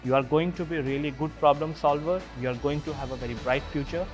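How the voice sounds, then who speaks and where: A man's voice: on the high side, quick pace, music in the background. A male faculty member giving earnest, encouraging congratulations to graduates in a recorded message.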